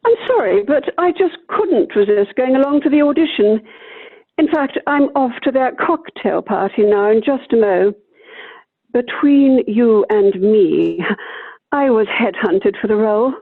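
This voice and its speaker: A woman's voice: fairly high pitch, animated, husky, full character voice. A woman playing a gushing, theatrical widow and amateur actress in a radio play, with affected, effusive delivery. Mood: breezy, self-satisfied. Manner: affected, effusive, drawn-out darlings. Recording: tinny, phone-like video call line.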